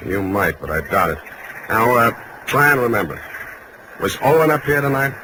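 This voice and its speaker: An actor playing a blunt, tough, wisecracking private detective in terse 1940s radio drama style, on a noisy old recording. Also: male voice, mid-range voice, very animated, moderate pace, lightly acted. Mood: firm, probing. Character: hard-boiled, blunt private detective, a grown man.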